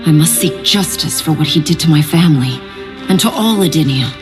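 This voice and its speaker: A woman's voice: deep voice, animated, brisk, music in the background. A woman playing a determined, vengeful warrior princess in a video game cutscene, earnest and resolute.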